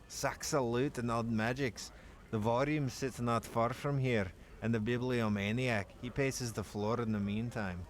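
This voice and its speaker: A man voicing a gruff, bloodthirsty male dwarf warrior in a put-on Scottish accent, telling tales in an archaic brogue for a game. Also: mid-range voice, steady tone, slight background noise, close to an everyday voice. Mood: boastful. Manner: slow, boastful storytelling. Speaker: player reading the dialogue.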